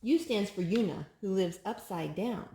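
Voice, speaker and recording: A woman hosting a children's storytime, reading picture books aloud in an expressive, sing-song way to a small child. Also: medium pitch, moderate pace, reverberant.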